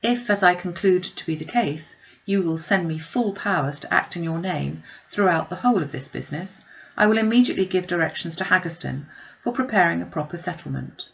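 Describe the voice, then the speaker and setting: Creaky, low-pitched woman reading a novel. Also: animated, fairly quick. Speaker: audiobook narrator. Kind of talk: reading a classic novel aloud. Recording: slightly dull, muffled recording.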